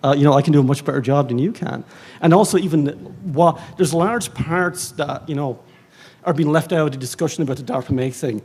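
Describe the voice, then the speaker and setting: An Irish man speaking as a librarian on a conference panel, rambling and animated, with many fillers and self-mocking asides. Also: fairly high pitch, brisk, slightly rough. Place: conference stage.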